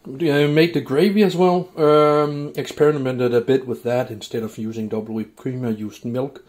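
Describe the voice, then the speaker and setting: A man casually narrating a home cooking vlog in a laid-back, somewhat halting way. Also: mid-range voice, fairly even intonation, darkish tone. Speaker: home cook, video host. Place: indoors in a home kitchen.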